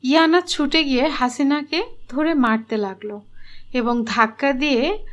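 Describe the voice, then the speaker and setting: A woman's voice: sits high, measured pace. A woman narrating a scripted storybook tale.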